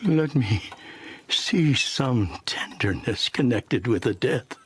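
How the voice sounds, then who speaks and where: An actor playing a haunted, pleading old miser with a husky, gravelly voice in an audiobook drama. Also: male voice, medium pitch, lively intonation, slow, a little hiss or hum. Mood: anguished pleading.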